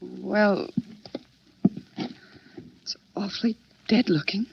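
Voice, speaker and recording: Eager, cheeky girl Friday for radio mystery: animated and pert, muffled old broadcast with slight noise. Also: medium pitch, extremely slow, gravelly, exaggerated voice. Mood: uneasy. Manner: inquisitive, pert. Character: eager, cheeky young woman, detective's assistant. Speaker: radio actress. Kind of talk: 1940s radio mystery acting. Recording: dull, noisy 1940s radio recording.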